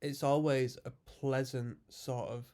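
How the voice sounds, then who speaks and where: A man's voice: medium pitch, level tone, a little slow, dark tone. A man with a stuffy cold, chatting casually as he reacts to a metal song and explains what the guitars and synths are doing. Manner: chatty, musing, explains music terms. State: congested from a cold. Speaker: music reaction host.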